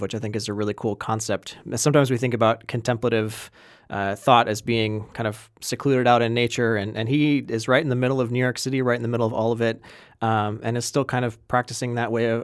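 A man co-hosting a podcast, introducing the episode in an upbeat, quick way with many fillers. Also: medium pitch, steady tone, no room sound, clean studio sound. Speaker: podcast co-host.

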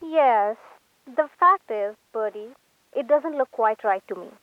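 Woman as nervy peevish artist guy on a thin phone line, highish voice, radio play. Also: lively intonation, a little slow, dry recording. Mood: uneasy. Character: anxious, peevish young male artist on telephone. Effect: telephone filter. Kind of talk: radio drama acting.

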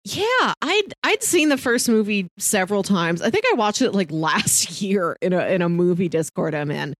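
A woman with a mid-pitched voice co-hosts a movie podcast in a dry, close recording. She talks in a casual, thoughtful, conversational way. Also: expressive, moderate pace, no room sound. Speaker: podcast co-host.

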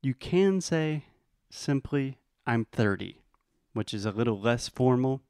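An American man teaching English in a bilingual lesson, explaining patiently and modeling phrases slowly. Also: mid-range voice, lively intonation, a little mellow, clean tone, dry recording, clean studio sound. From United States.

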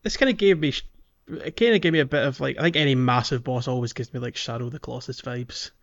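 A man's voice: medium pitch, fast talker, dull audio. A man hosting a casual gaming podcast, talking hesitantly and thinking aloud as he goes. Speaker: podcast host. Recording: music playing behind the voice.

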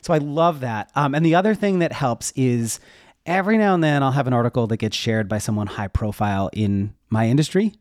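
A man's voice: medium pitch, a little mellow, dry recording, clean studio sound. A man talking casually as a podcast guest, candid and conversational as he explains things from his own experience. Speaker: podcast guest, web development educator. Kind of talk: casual interview talk.

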